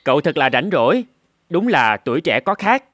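An actor voicing a busy, irritated father rooster in an animated fable, brisk, scolding and dismissive. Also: medium pitch, dry recording. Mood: dry. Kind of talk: Vietnamese animated fable dialogue.

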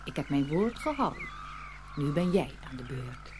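A woman's voice: low voice, big pitch swings, background noise. A woman playing a sly, menacing snake-woman witch in an audio fairy tale, drawing out her taunting words. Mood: commanding, sly. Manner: slow, drawn-out, taunting delivery.

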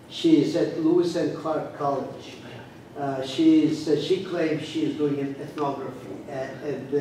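Older man, halting talk in an echoey hall. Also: on the high side, darkish tone. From Turkey. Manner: halting, many restarts and fillers. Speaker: guest speaker. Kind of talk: academic lecture discussion. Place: large lecture room. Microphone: podium microphone, standing. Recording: echoey room, distant mic, faint noise.

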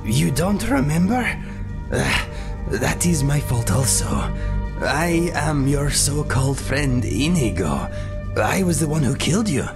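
An actor playing a guilt-ridden cat-man mercenary in a fantasy game, speaking gravely and formally. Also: on the high side, very animated, unhurried, over music, exaggerated voice. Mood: guilty, pleading. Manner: formal, grave, avoids contractions. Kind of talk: fantasy game character dialogue.

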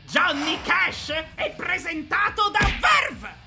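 A woman's voice: very high voice, huge pitch swings, slow, gravelly, shouting, over loud music, heavily acted. A woman playing a boastful, flamboyant anime villain who delivers showy sales patter in a parody skit.

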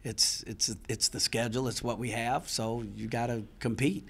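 A man's voice: medium pitch, fairly even intonation, moderate pace, fairly bright tone. A middle-aged man, a basketball head coach, speaks frankly and with some frustration at a post-game press conference, restarting phrases as he thinks. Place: press room before a sponsor backdrop. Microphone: handheld mic on a stand close in front.

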